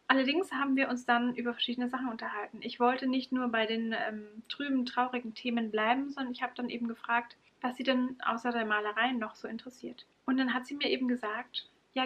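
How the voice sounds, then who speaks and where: A young woman from Franconia with a light Franconian accent, earnestly telling a personal story to camera in a small room. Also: fairly high pitch. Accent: light Franconian German. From Franconia, Germany. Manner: warm, earnest, stresses words by repeating them. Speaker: vlogger and occupational therapist. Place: small room in front of bookshelves, indoors. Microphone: camera mic, close framing.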